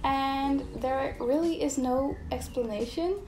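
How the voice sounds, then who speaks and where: A woman's voice: high voice, takes it slowly, darkish tone, a little hiss or hum. A young Dutch woman, a native Dutch speaker, chatting casually in English as a YouTuber and illustrator, openly searching for words at times. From Netherlands. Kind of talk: casual chat while drawing. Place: home art studio. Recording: roomy home studio sound. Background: faint background music.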